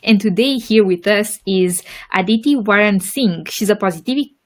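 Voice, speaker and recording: A woman's voice: mid-range voice, normal speed. A young woman hosting a casual interview podcast, bubbly, laughing often and filling her speech with "like". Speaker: podcast host.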